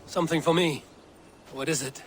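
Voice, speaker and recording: An actor playing a steadfast Viking warrior leader with a measured, direct delivery in a game drama. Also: male voice, a little high, steady tone, slight background noise, natural voice. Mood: direct, curious. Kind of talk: dramatic game cutscene acting.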